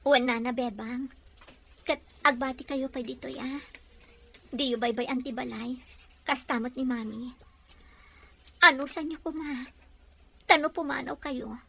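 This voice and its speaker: A woman's voice: high-pitched, steady tone, unhurried, creaky, pauses often, sharp tone, full character voice. A woman acting a sorrowful young woman leaving home in a Filipino audio drama. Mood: sorrowful farewell.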